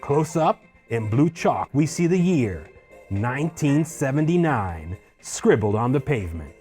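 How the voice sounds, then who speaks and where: A man reading screenplay stage directions in a husky voice, as scripted narration at a table read. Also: mid-range voice, mellow.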